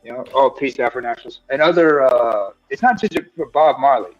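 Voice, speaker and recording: A man's voice: mid-range voice, brisk, no echo, lo-fi sound, soft background music. A man co-hosting a live stream, talking earnestly in long, rambling thoughts full of fillers. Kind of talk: casual discussion.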